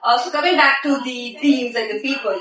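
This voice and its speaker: A woman's voice: high voice, brisk. A woman interviewer asking questions in a conversational corporate interview style. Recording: echoey, distant mic, busy crowd chatter.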